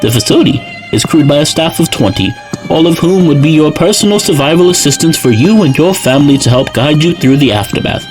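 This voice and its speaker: Lively, higher-voiced actor as a polished bunker salesman. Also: a little fast, faint music behind, lightly acted, rough recording. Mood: polished, selling. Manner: smooth-talking, formal, eager to sell. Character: polished male salesman pitching a luxury survival bunker. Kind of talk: audio drama dialogue, sales pitch.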